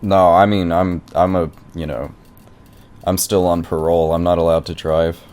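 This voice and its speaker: Guy putting on a low voiced clueless squatter tenant on a prank call, rambly, stubborn, argues everything. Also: level tone, moderate pace, darkish tone, natural voice. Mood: rambling, aggrieved. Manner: rambling, argumentative, feigned naivety. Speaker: prank caller. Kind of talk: improvised phone prank conversation.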